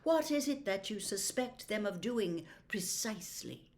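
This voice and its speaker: A woman voicing a calm, stern old male wizard headmaster in a storybook reading, hushed, formal and measured. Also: mid-range voice. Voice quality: quiet, hushed.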